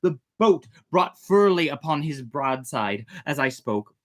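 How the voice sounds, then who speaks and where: A storyteller reading a tale aloud dramatically, theatrically swelling and hushing. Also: mid-range voice, sing-song, a little slow. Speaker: storyteller, host.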